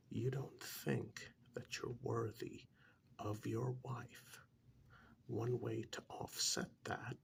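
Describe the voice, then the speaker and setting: A man playing a calm, blunt couples therapist in a soft-spoken ASMR role-play, half-whispering with breathy, deliberate, hesitant pauses. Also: fairly low pitch, exaggerated voice. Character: calm, blunt male couples therapist counselling married clients. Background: soft clicking of pencil tapping.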